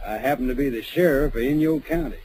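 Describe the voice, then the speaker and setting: An actor in a 1950s radio drama playing a confident frontier sheriff who speaks with a good-humored but firm drawl. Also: male voice, mid-range voice, fast talker, a little mellow, slight background noise, lightly acted. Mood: calm.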